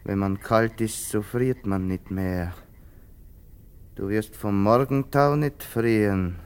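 An actor playing a tormented, brooding man with murder in him in a literary radio drama, speaking in halting, repeated phrases. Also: fairly low pitch, clean tone. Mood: brooding, ominous. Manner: halting, repetitive, muttered phrases breaking into frenzy.

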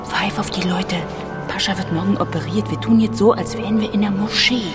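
A caring and resolute woman played by an actress in a radio drama, firm but gentle. Her pitch is average and her talk is colloquial. Music runs behind her. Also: fairly even intonation, fairly quick, close to an everyday voice. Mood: firm, urging. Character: caring, resolute woman looking after a refugee boy. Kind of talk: radio play dialogue and narration.

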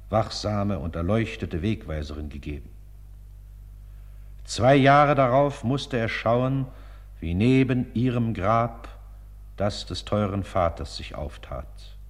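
A man narrating a scripted religious life story in a solemn, measured manner, with long reverb around him. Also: lowish voice. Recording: long reverberant hall sound.

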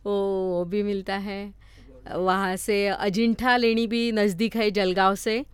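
A woman with a Marathi accent answers as a guest in a casual interview. Her voice is smooth and clear at an average pitch, with halting, simple phrasing, recorded close and dry. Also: level tone, no echo. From Maharashtra, India.

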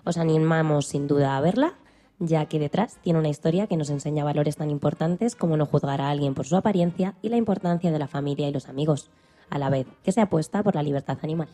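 A woman's voice: low voice, fast talker, dark tone. A woman co-presenting a radio programme from a script.

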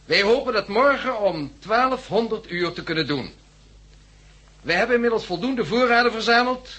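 Stiff army colonel, Dutch, high and husky, bureaucratic, vintage radio drama. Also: male voice, pushed and bright, exaggerated voice. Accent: Dutch, Netherlands. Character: clipped, officious male army colonel giving orders. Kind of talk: 1960s radio drama acting, official briefing.

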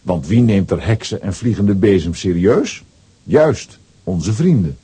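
A man narrating a scripted children's story in an expressive storytelling manner. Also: deep voice, a little slow, husky.

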